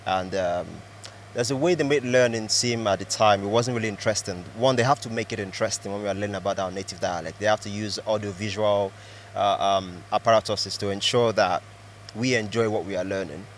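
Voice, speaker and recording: A man's voice: fairly low pitch, a little fast, a little hiss or hum. Thoughtful man in a street interview. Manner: thoughtful, hesitant with fillers.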